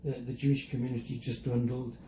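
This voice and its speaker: Mellow older South African man. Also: mid-range voice, flat delivery, a little fast. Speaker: interview guest, museum chief executive. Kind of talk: reflective interview conversation. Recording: roomy echo, faint background noise.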